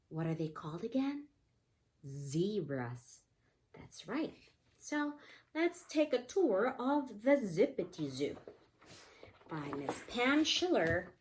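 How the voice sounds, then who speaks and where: A woman teaching young children in a read-aloud lesson, explaining simply and prompting with repeated questions. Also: medium pitch. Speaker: pre-K teacher.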